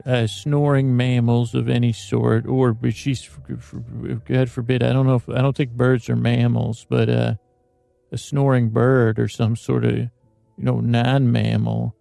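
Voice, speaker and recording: A man hosting a sleep podcast, talking in a slow, rambling, meandering way that drifts off topic and keeps interrupting itself. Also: medium pitch, flat delivery, creaky, warm tone, no echo, soft background music. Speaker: podcast host. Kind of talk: rambling bedtime monologue.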